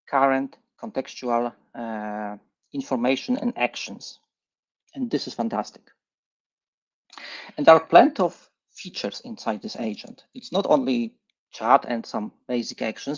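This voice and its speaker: A middle-aged man with a Polish accent gives a live tech presentation, speaking haltingly and repeating words. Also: mid-range voice, creaky, lo-fi sound. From Poland. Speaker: guest presenter. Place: online livestream session.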